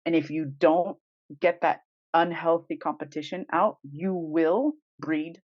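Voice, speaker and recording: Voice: mid-range voice, steady tone, unhurried, no room sound. A podcast co-host talking casually and emphatically, with strong opinions and informal phrasing. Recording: dull, lo-fi dry recording.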